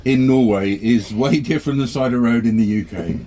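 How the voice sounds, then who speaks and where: A British man in middle age talks casually, as if to a camera on a trip. His voice sits at an average male pitch. There is clear vehicle and ambient noise in the recording. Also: a little fast, warm tone. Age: middle-aged. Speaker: travel vlogger. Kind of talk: casual vlog chat to camera. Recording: noisy vehicle and outdoor ambience.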